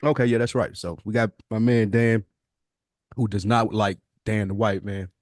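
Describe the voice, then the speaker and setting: Male podcast host, lower register, dry sound, casual and teasing with slangy phrasing. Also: fairly even intonation, moderate pace, darkish tone, dry recording.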